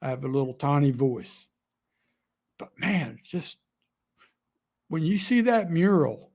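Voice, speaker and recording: A man talking to camera in an emotional, rambling vlog, halting often with fillers and restarts. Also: fairly high pitch, very animated, darkish tone. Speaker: outdoor vlogger. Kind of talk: emotional casual monologue.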